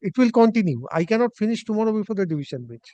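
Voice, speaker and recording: A man with an Indian Bengali accent speaking deferentially as an advocate before a judge, halting and pleading. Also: sits high, expressive, warm tone, dry recording. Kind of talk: deferential courtroom exchange. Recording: slightly dull, lo-fi audio.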